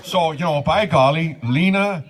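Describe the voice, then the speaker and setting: A man's voice: on the high side, measured pace. A man playing a folksy Norwegian-American joker, Sven, telling wedding jokes with an Upper Midwest accent in a comic duo. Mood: jovial. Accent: Scandinavian-American Upper Midwest. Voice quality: folksy, chuckling, says "by golly". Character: folksy Scandinavian-American man Sven, jovial joke-teller. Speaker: wedding entertainer. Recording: live room with crowd behind. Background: crowd noise.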